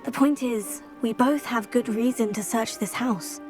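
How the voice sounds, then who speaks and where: High-voiced young schoolgirl witch, acted by a woman. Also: measured pace, a little mellow, lightly acted. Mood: earnest explaining. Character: thoughtful, determined teenage schoolgirl witch investigating mysteries. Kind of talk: video game cutscene acting. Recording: game music behind the voice. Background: game music.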